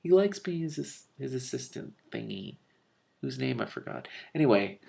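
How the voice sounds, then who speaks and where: A man reading a novel aloud expressively, with casual, animated commentary and salty asides. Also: mid-range voice, moderate pace, vocal fry. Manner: animated, informal, swearing in asides. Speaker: reader and commentator of a fantasy novel.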